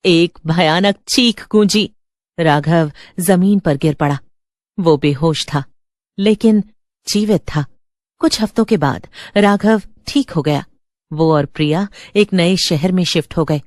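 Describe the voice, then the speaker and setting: A woman's voice: on the low side, takes it slowly, darkish tone, dry recording, clean studio sound. A woman narrator reading a scripted horror story in a dramatic storytelling style.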